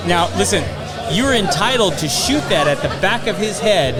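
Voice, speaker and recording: A man's voice: high-pitched, lively intonation. A man hosting a live home-improvement podcast, joking with guests and reading sponsor plugs. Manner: upbeat, joking, quick restarts. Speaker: podcast host. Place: live event with crowd and music. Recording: live event with loud crowd and music.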